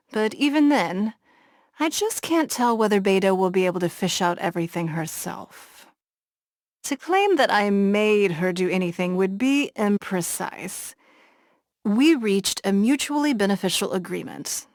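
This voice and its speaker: A woman voicing a poised, shrewd noblewoman who leads a harbor city, speaking in a measured, formal and composed way in a game cutscene. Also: medium pitch, no room sound, clean studio sound. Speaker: game character.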